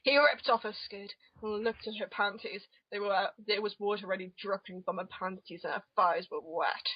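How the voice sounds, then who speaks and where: A woman's voice: mid-range voice, fairly even intonation, normal speed, slightly rough, no echo. A woman narrating an amateur dramatic reading of comic fan fiction, on a muffled home recording.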